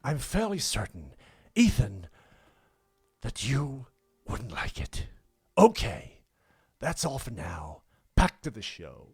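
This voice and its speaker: A man's voice: mid-range voice, huge pitch swings, slow pace, husky, no room sound, clean studio sound, heavily acted. A man doing a comic impression of a drawling older American film star, reading a letter in a strained, theatrical voice. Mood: emphatic, theatrical.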